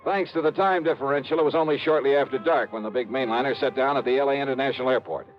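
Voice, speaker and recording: An actor playing a hardboiled male insurance investigator, narrating and talking in a brisk, wisecracking 1950s radio drama style. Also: mid-range voice, faint music behind, close to an everyday voice. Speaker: narrator and lead. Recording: muffled old radio recording.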